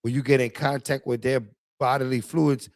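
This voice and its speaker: A man's voice: mid-range voice, level tone, normal speed, no room sound. A man leading a casual Bible study who directs the reading and explains each verse step by step. His voice is recorded dry and close, with no room sound. He keeps checking in with "right?".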